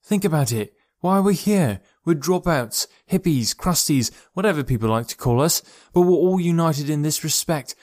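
A man's voice: on the high side, lively intonation, moderate pace, few pauses, a little mellow, no room sound, studio quality, voice pushed up, close to an everyday voice. A man voicing an earnest, urgent young woman who leads a forest community. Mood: impassioned. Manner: raised, earnest and pleading delivery.

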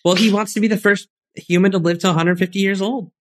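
An enthusiastic woman trading stories on a podcast, her voice sitting at an ordinary middle pitch. She jumps in eagerly, laughs easily and builds her stories with relish. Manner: animated, quick to laugh, tells sports stories with enthusiasm. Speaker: podcast co-host. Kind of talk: casual chat.

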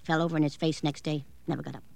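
A woman's voice: deep voice, flat delivery, racing, vocal fry. A woman playing a cranky, evasive older aunt in a radio drama, fussy and scolding, talking in clipped, colloquial fragments. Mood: offhand, blunt. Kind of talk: old-time radio drama acting.

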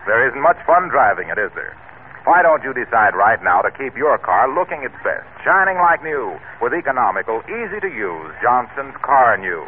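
A male announcer reading a radio commercial in a smooth, persuasive pitchman manner. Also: slight background noise. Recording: tinny old radio broadcast.

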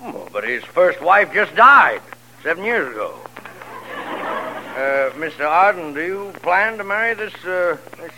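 Blustering, high-pitched crotchety judge. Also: male voice, sing-song, unhurried, bright, forceful tone, heavily acted. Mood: flustered, disapproving. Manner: blustering, exasperated, with muttered hesitations. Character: crotchety, flustered older male courtroom judge. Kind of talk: 1940s radio comedy acting. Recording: noisy vintage radio broadcast recording.

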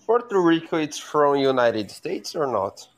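A man's voice: fairly high pitch, very animated, measured pace. A young man from Brazil joining a live call as a guest, speaking careful, hesitant second-language English. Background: music playing.

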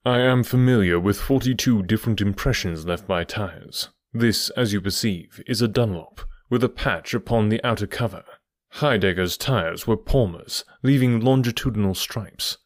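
A man voicing a brisk, methodical middle-aged male detective who explains his reasoning with precise confidence. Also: lowish voice, no room sound, studio quality, natural voice. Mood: analytical.

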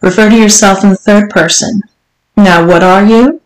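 A woman voicing a domineering, teasing, strict mistress in an audiobook, speaking in an imperious, deliberate way. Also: medium pitch, fairly even intonation, close to an everyday voice. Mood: stern, condescending. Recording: roomy, echoing room sound.

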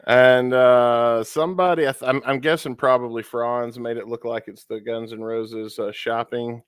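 A man's voice: mid-range voice, fairly even intonation, normal speed, clear, resonant tone, no echo, studio quality. A man co-hosting a podcast, chatting casually and profanely with many fillers, sounding stuffy and sniffly from being sick. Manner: loose and profane, lots of fillers. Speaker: podcast co-host.

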